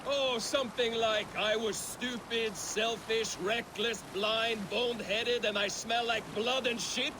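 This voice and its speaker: Game Viking shipmate, very high for a man, blunt, mocking, noisy water behind. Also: fairly even intonation, takes it slowly, few pauses. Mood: sardonic. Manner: sardonic, needling. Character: blunt, mocking Viking crewman, loyal and crude. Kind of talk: video game cutscene acting.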